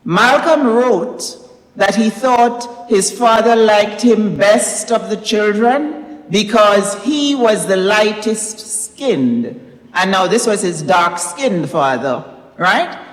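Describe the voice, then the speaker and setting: A woman giving a prepared literary talk, speaking in measured, deliberate phrases with pauses. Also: mid-range voice, animated. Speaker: author presenting own novel. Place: recital hall, indoors. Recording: echoey recital hall with long reverb.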